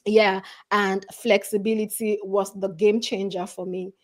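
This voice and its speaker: A middle-aged woman from Nigeria talks through her career story at a steady mid pitch. She is earnest and conversational, leaning on "you know" between phrases. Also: unhurried. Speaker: guest speaker. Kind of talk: conversational panel talk.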